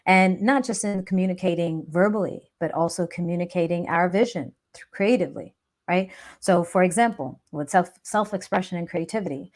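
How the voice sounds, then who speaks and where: A woman's voice: fairly low pitch, fairly quick, a little mellow. A woman hosting a live chat, talking earnestly and reflectively about self-doubt and growth, often checking in with a "right?". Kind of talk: motivational live stream talk.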